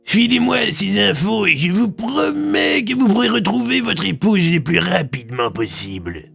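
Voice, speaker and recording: An ambitious army colonel from an audio drama, insinuating under a show of courtesy. His voice is high for a man, raspy and sharply bright, over music. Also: sing-song, normal speed, exaggerated voice. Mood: coaxing, manipulative. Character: scheming, ambitious male army colonel, slyly coercive. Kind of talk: French audio fiction acting.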